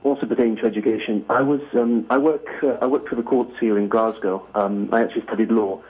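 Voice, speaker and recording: A man's voice: mid-range voice, steady tone, fast talker. A man from Greece calling in to a talk show, asking a long question with many fillers and restarts. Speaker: caller. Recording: tinny phone line.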